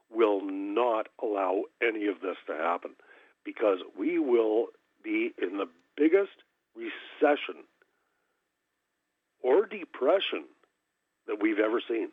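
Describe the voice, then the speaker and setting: A man calls in to a talk show and speaks very slowly in a husky, lower voice. His manner is measured and deliberate. The sound comes over a thin, tinny telephone line. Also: animated, dry recording. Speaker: caller.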